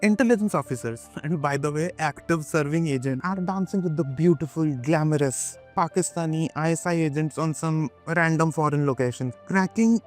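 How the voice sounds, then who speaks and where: Male video essayist, Indian accent, fairly high register; scripted opinion read with emphatic repetition and dramatic pauses, light music bed. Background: background music.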